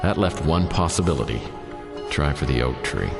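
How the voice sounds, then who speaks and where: An American man playing a down-on-his-luck private detective in a noir radio drama, narrating with deadpan, self-mocking dryness. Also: a bass voice, moderate pace, creaky, big-room sound, full character voice. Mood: wry, brooding. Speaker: lead character and narrator. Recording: studio radio drama over music. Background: prominent music.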